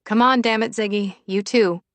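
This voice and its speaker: A woman voicing a brisk, dry-humored, stubborn young farm manager in an audiobook. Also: mid-range voice, fairly even intonation, dry recording, voice pushed up, close to an everyday voice. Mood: firm.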